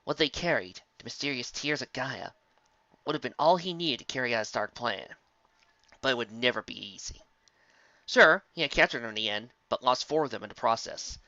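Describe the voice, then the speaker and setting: Male narrator, dramatic fantasy reading, forceful, gravelly edges, dry and slightly dull lo-fi recording. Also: mid-range voice, expressive, brisk, dry recording.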